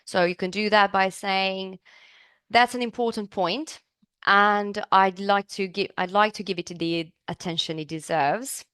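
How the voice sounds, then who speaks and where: Woman teaching english live, smooth clear voice, dry close sound, chatty and upbeat. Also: mid-range voice, fairly even intonation, unhurried, fairly bright tone, no room sound. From Serbia. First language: Serbian. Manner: upbeat, chatty, asks the class questions. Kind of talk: live online class.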